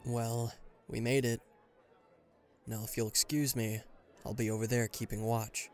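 A man's voice: mid-range voice, flat delivery, unhurried, a little mellow, faint music behind, natural voice. A man playing an aloof, reluctantly caring bodyguard in a roleplay audio drama, talking to the listener in a dry, guarded, mildly exasperated way. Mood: polite, professional. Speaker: voice actor.

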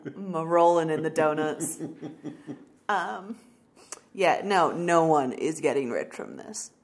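A woman's voice: deep voice, animated, slow pace. Casual, joking woman podcast host. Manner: joking, informal. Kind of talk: casual, bantering podcast chat.

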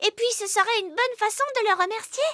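Tiny-voiced little fairy princess. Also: female voice, extremely high pitch, fast talker, bright, forceful tone, dry recording. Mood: delighted. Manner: childlike, exclaiming, earnest. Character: sweet, excitable little fairy princess girl. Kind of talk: children's cartoon dub.

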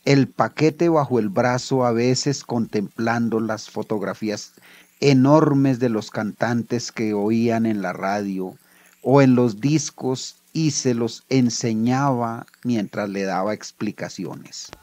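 A man's voice: mid-range voice, slow, a little mellow, dry recording. A man with a Colombian accent, a writer reading his own literary short story aloud.

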